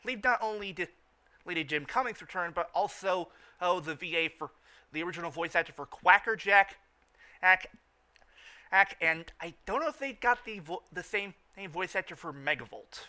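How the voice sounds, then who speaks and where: Very bright-voiced man, halting and informal. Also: high-pitched, expressive. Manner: halting, repeats and restarts phrases. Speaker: review show host. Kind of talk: informal fan commentary and recap.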